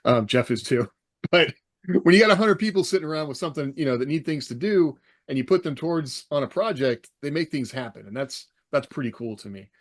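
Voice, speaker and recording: A man's voice: a little high, lively intonation, brisk. A middle-aged American man hosting a casual podcast, talking in a rambling, self-correcting way. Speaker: podcast host.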